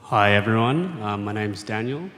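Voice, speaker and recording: A man's voice: on the low side, lively intonation, normal speed, fairly bright tone. A man with an Australian accent, a student, introducing himself at a panel event. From Sydney, Australia. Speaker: master's student. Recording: hall reverb with background noise.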